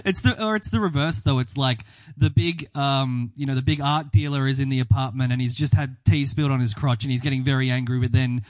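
A man in a casual podcast chat who laughs a lot and keeps agreeing with his co-host. His voice sits mid-range and the recording is dry and close. He fills in plot details between quick yeses. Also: medium pitch, steady tone, no room sound. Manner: agreeable, laughs often, chimes in with short replies. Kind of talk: casual chat recapping a TV episode.

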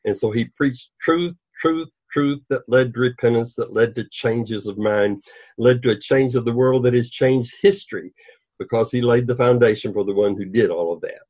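Old guy great-grandpa talking faith slow and earnest, husky, muffled audio. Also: medium pitch, darkish tone. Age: older. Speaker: guest. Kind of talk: reflective religious discussion. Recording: dull lo-fi sound.

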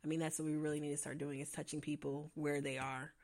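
A woman's voice: deep voice, little pitch movement, fast talker, a crowd in the background. A woman interviewing a guest in a casual, enthusiastic way, with many fillers and restarts. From lives in Atlanta.

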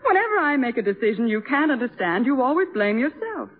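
Cool high-voiced young wife. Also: female voice, sing-song, fast. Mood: restless, resolved. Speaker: actress in radio drama. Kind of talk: 1950s radio drama acting. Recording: muffled, band-limited 1950s radio recording.

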